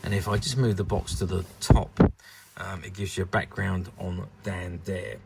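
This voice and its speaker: A man giving an unscripted showcase commentary on a collectable, with a hesitant, halting delivery. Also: low-pitched, steady tone, creaky, heavy background noise. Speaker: vintage toy collector presenting an item.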